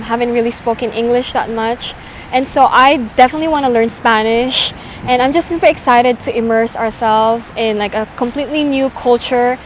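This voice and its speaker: A young woman chatting casually to camera as a vlogger, full of fillers and quick restarts. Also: a little high, a little hiss or hum, low-quality recording. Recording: music bed underneath.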